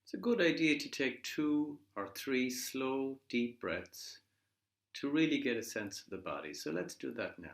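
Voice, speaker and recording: A man leading a guided body scan meditation in a measured, gently instructive way, leaving pauses between phrases. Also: medium pitch, lively intonation, creaky. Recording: echoey room.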